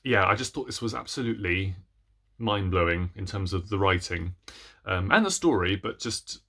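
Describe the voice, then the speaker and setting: Low-pitched young man, chatty book vlogger. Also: lively intonation, normal speed, vocal fry, bright, forceful tone. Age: young adult. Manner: hesitant, chatty, self-deprecating. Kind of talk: casual chat to camera. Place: small room at home, indoors.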